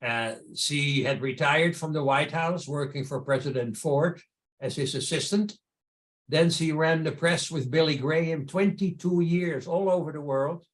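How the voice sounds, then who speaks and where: Higher-pitched man with a Dutch accent. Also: unhurried. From Netherlands. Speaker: podcast guest, entrepreneur and charity founder. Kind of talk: conversational personal storytelling.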